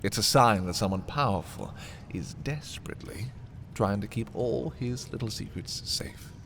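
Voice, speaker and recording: An actor playing a haughty, menacing prince taunting a captive thief in a dark fantasy audio roleplay, theatrical and condescending with dramatic pauses. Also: male voice, on the low side, gravelly, in a whisper. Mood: coolly taunting.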